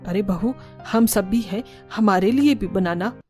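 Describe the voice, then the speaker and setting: A blunt, outspoken mother-in-law of middle age, acted by a woman in a cartoon story. Her medium-pitched voice sits over faint music. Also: a little slow, darkish tone, natural voice. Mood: pleased. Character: outspoken middle-aged mother-in-law, scolding but fair. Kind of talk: Hindi cartoon story dubbing.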